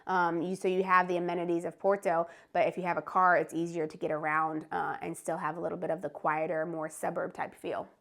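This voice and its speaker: A woman's voice: on the low side, little pitch movement, brisk, vocal fry, few pauses, fairly bright tone. A young woman co-hosting an expat travel vlog, speaking in an easygoing, thoughtful way. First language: English. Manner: easygoing, thoughtful, laughs lightly. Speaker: co-host of expat travel channel. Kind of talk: casual conversational chat.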